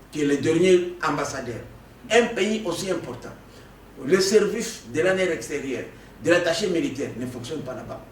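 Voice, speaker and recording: Emphatic man in an echoey room. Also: fairly high pitch. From Democratic Republic of the Congo. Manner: emphatic, animated, with frequent restarts and stammers. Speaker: political guest. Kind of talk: political interview. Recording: echoey room, distant mic, faint noise.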